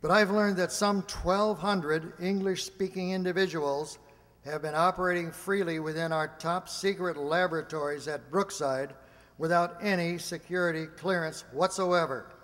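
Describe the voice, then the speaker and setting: An actor playing a persuasive laboratory security chief, deliberate and emphatic, in a radio play. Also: male voice, fairly high pitch, steady tone, fairly bright tone, lightly acted. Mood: formal, earnest. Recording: echoey hall with long reverb.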